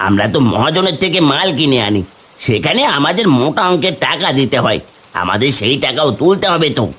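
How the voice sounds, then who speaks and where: A man's voice: on the high side, sing-song, fairly quick, a bit bright, husky, full character voice. A man voicing a stubborn, defensive village vegetable seller in a cartoon, argumentative and Bengali-accented. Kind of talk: cartoon dialogue acting.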